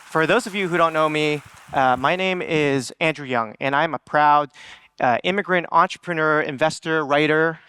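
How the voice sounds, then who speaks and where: A man's voice: on the high side, moderate pace, sharp tone, slight background noise. A young man from Hong Kong giving an earnest motivational talk on stage, often asking the audience questions, with ums and restarts. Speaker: conference speaker.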